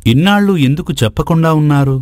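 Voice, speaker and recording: A man's voice: a little high, lively intonation, fast, a little mellow, no room sound, studio quality, natural voice. A man playing a greedy, courtly ascetic sage in a moral folk tale, with formal, theatrical phrasing. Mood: curious, gracious. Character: flattering, greedy male ascetic sage, courtly and self-serving.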